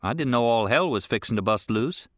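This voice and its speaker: A man's voice: medium pitch, lively intonation, brisk, dry recording, clean studio sound, close to an everyday voice. A man voicing a laconic teenage ranch boy who answers tersely in a drawl.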